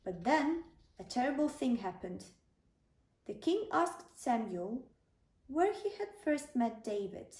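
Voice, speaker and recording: Mellow, high-pitched woman reading a bedtime story. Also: sing-song, slow pace. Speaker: storybook narrator. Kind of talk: read-aloud children's bedtime story. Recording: roomy, slightly distant microphone.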